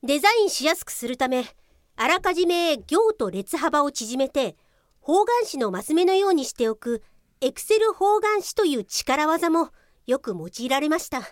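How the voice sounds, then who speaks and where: Officious female cop played by an actress, formal and assertive, very high voice with big swings, comic radio play. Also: sing-song, moderate pace, no room sound, clean studio sound. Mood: formal, lecturing. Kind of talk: comic radio drama acting.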